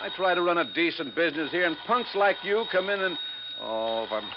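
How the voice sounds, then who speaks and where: An actor playing a crooked, self-pitying middle-aged American furniture boss with blustering, slangy talk, in a 1950s radio drama. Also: male voice, fairly high pitch, expressive, fairly quick. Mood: aggrieved, blustering. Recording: dull, noisy 1950s radio recording.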